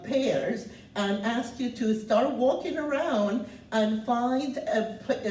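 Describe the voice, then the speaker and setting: A woman in her seventies from Cuba, speaking loudly and earnestly as she tells her life story, pausing on many fillers. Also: mid-range voice, a little hiss or hum. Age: 70s. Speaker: guest speaker sharing her refugee story. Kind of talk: personal testimony talk. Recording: roomy, distant mic, faint ambience.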